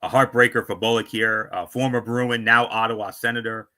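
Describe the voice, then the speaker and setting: A man's voice: lowish voice, steady tone, brisk, sharp tone. A man hosting a sports talk show, reading out team rosters with small stumbles and chatty asides. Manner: reads names with stumbles and asides.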